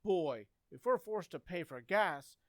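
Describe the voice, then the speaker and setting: A woman's voice: fairly low pitch, animated, a little slow, dry recording, studio quality. A woman playing an impulsive, eager, scheming teenage boy in an old-time radio comedy, with a coaxing, exclamatory manner.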